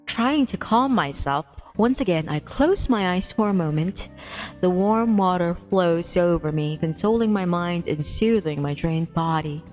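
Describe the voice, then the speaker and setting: A woman's voice: fairly low pitch, lively intonation, unhurried, darkish tone. A woman livestreamer reading a visual novel aloud expressively, with playful casual asides. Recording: music behind the voice. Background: music.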